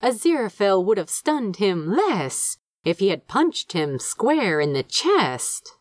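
A woman reading a story aloud as an audiobook narrator, measured and expressive in her scripted delivery. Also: mid-range voice, dry recording, studio quality. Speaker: fan fiction audiobook narrator.

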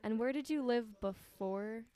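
Brief: woman host, bubbly and chatty, quick laughs, keeps restarting her sentences. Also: on the high side, warm tone, no room sound. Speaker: podcast host. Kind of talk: casual interview chat. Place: friend's house.

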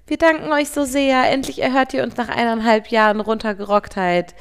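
A woman in her thirties co-hosting a podcast as a parent, talking fast and getting worked up, sometimes turning sarcastic. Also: a little high, fairly even intonation, clean tone. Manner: fast, agitated, sarcastic when upset. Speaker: podcast co-host. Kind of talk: casual podcast chat.

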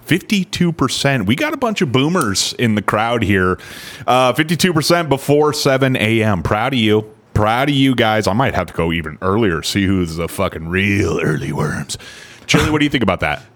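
A man's voice: on the low side, big pitch swings, moderate pace, a bit bright. A man co-hosting a casual comedy podcast, crude and teasing, swearing a lot, worn down by the heat. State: overheated and sweaty. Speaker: co-host.

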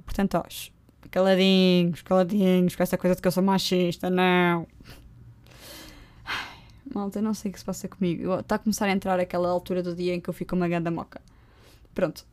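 A young woman with a European Portuguese accent hosting her own podcast, chatting casually and poking fun at herself with quick asides. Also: lowish voice. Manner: joking, self-mocking, lively asides. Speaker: podcast host. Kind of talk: casual solo podcast chat. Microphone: close podcast microphone.

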